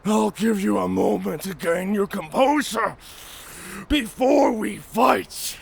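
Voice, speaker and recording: A man playing a proud, honor-bound and taunting werewolf warrior in a cinematic audio roleplay, speaking formally with a menacing, raspy growl. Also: high voice, a little hiss or hum, full character voice. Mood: scornful, defiant. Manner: slow, menacing, theatrical, formal speech.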